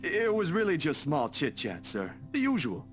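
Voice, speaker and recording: An actor playing a polite, nervous young photographer in a visual novel game, hesitant and deferential, stammering a little. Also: male voice, on the high side, wildly swooping pitch, normal speed. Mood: polite, careful. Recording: guitar music behind the voice.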